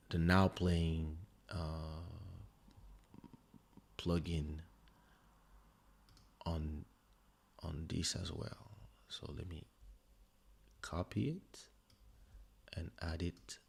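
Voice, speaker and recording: Give me a French-accented man with a low voice, chatting casually on his livestream at a slow pace. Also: vocal fry, slightly rough. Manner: relaxed, rambling with fillers and restarts. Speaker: musician hosting a livestream listening party. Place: home streaming setup. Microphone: studio desk microphone.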